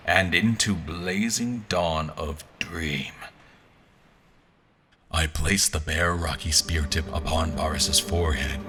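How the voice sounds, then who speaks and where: An actor playing a grandiose, messianic zealot commander who speaks and narrates solemnly in a dramatic audio fiction. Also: male voice, very deep voice. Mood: fervent. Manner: solemn, deliberate, messianic speechmaking. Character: grandiose zealot commander, a self-proclaimed visionary leader.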